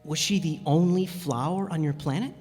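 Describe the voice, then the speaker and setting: A male actor plays a reflective man telling his story in a radio drama. The voice is a little high, gentle and slightly hesitant, set in long hall reverb with music. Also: normal speed, close to an everyday voice. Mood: gently curious. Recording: echoey hall with music bed.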